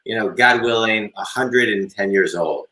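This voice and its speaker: A man's voice: on the low side, normal speed, bright, forceful tone, echoey room, low-quality recording. A man in his forties hosting a business interview show, eagerly asking questions and often adding "right?" and "so". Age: 40s. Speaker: host.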